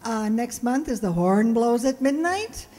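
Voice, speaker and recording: A woman's voice: fairly high pitch, animated, unhurried, dark tone. A woman hosting a live show, making a friendly, informal closing announcement to her audience. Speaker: host of a community radio players' show. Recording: reverberant hall with background noise.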